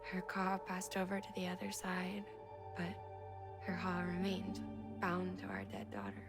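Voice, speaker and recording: Bereaved woman scientist for game cutscene: low, hushed, reflective, tender temper, over loud score. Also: unhurried. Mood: sorrowful. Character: grieving, soft-spoken woman scientist, bereaved mother and twin. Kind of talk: dramatic video game cutscene acting. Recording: loud music under the voice. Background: loud music.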